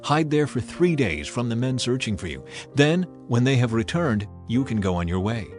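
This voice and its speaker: A man's voice: medium pitch, sing-song, moderate pace, a little mellow, lightly acted. Man reading as earnest pleading Jericho woman, music behind. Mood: urgent.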